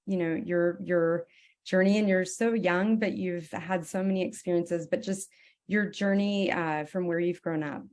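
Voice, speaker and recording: A woman hosting a conference call, speaking in an earnest, affirming and halting way. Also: fairly low pitch, fairly even intonation, a little mellow. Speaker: conference host. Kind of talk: hosting a live interview. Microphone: video call.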